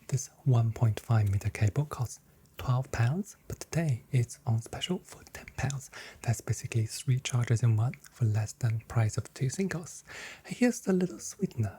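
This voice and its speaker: Soft, mellow whispering ASMR voice, sex ambiguous. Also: mid-range voice, slight background noise. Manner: soft-spoken, breathy whispering. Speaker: ASMR performer playing a shop assistant. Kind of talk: whispered ASMR shop role play.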